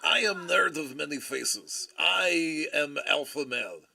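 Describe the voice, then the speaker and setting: A man voicing a boastful nerdy man with a mock Bulgarian-Russian accent, speaking in slow, clipped, broken English. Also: a little high, expressive, creaky, sharp tone, full character voice. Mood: smug.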